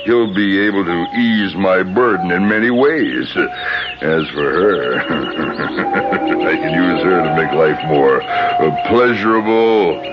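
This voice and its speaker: A vile giant villain gloats and commands in a slow, swooping voice, as Death himself in an old radio play. The 1970s recording is dull, with music behind. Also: male voice, deep voice, expressive. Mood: gloating menace. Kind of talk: 1970s radio drama acting.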